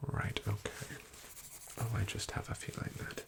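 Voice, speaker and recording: A man whispering an ASMR roleplay as a house-call doctor, hushed and wryly deadpan with soft fillers. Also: moderate pace.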